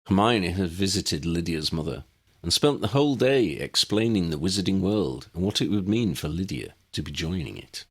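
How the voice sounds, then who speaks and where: A man's voice: deep voice, expressive, normal speed, husky. A man reading a fantasy story as an audiobook narrator. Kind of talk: scripted fiction narration.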